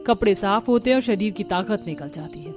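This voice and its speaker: A woman voicing earnest, plaintive village housewives and mothers-in-law in a cartoon story, with an expressive, emphatic delivery. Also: mid-range voice, a little fast, over music, close to an everyday voice. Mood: exasperated.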